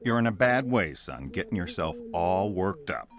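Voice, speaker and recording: A man's voice: low voice, sing-song, normal speed, music in the background. An actor playing an older family man, placating and condescending but firm, in a video game drama. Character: calm, patronising older family man defending his home.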